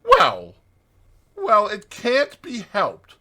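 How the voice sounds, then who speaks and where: A man's voice: extremely high pitch, huge pitch swings, very slow, gravelly, sharp tone, pitched above the performer's own voice, full character voice. A man voicing a hearty, good-natured, capable water rat in a dramatized children's storybook, with an emphatic, jaunty manner. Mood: brisk.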